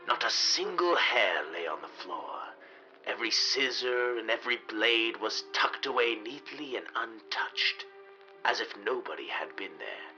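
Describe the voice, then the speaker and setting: Bright-voiced man narrating, dramatic and measured. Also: medium pitch, muffled recording. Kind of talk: scripted narration of a 1930s radio mystery.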